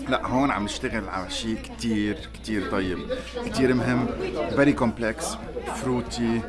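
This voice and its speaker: A man hosting a casual wine tasting and interview, enthusiastic and quick, switching between two languages. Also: on the low side. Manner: quick, enthusiastic, mixes English and Arabic. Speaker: host. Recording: loud music and crowd behind.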